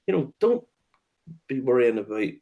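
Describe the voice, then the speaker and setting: A man hosting a casual chat about whiskey tastings, relaxed, laughing often and swearing casually as he restarts his sentences. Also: medium pitch, moderate pace, narrow, tinny audio. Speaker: host and whiskey tour guide.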